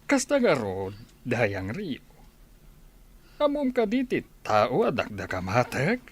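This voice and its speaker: A man's voice: mid-range voice, wildly swooping pitch, takes it slowly, husky, heavily acted. A man with an Ilocano accent playing a commanding sultan in a radio drama. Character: commanding sultan, ruler of the islands.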